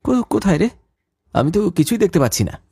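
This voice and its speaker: A man's voice: sits high, big pitch swings, brisk, gravelly, dark tone, husky, no echo, studio quality, exaggerated voice. A man playing a sceptical, mocking young village man in a cartoon ghost story, teasing and dismissive. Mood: doubtful. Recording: music behind the voice.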